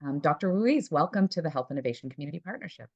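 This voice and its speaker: A woman with a very low voice moderates a community meeting, introducing speakers in an organized, welcoming way. Her voice comes through dry, with no room sound. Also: animated, racing, creaky, no echo, muffled recording. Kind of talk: moderating a video call meeting.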